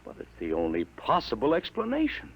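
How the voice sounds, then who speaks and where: A bewildered, husky-voiced father. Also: medium pitch, huge pitch swings, exaggerated voice, rough recording. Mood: skeptical. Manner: doubting, halting, startled. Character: bewildered middle-aged father. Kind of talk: 1950s radio drama acting.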